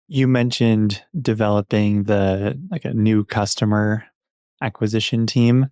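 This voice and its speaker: A man hosting an interview podcast, putting measured, curious questions to his guest. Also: on the low side, steady tone, darkish tone, smooth, dry recording, clean studio sound. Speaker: podcast host.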